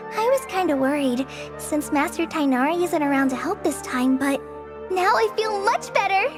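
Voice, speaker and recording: A woman voicing a modest, friendly young female forest ranger with soft nervous laughs in a game dub. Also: very high voice, expressive, a little slow. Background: game music.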